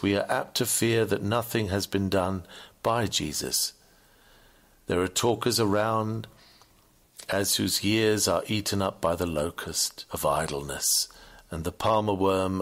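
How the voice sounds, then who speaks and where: A man with a fairly low voice reads a Victorian sermon aloud. His delivery is oratorical and measured, with an earnest weight to the phrasing, as a preacher would address a congregation. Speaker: sermon reader.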